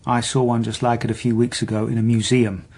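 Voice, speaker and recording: An actor playing a calm, dry senior detective in a radio drama, husky and understated. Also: male voice, mid-range voice, brisk, lightly acted. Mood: calm, gently probing. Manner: understated, gently probing. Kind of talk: naturalistic radio drama acting.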